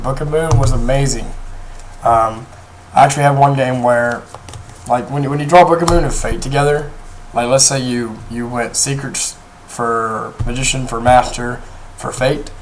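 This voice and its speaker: A young man with a hoarse voice, talking casually through a card game deck, rambling with many pauses and restarts. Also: mid-range voice, a little hiss or hum, poor audio. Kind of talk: casual deck profile talk. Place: indoors at a table, off camera.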